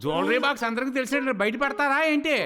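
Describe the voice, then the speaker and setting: A scolding, scheming cartoon fellow, high-pitched and quick. The delivery is exclamatory. Music plays underneath. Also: female voice, expressive. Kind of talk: animated children's cartoon dub.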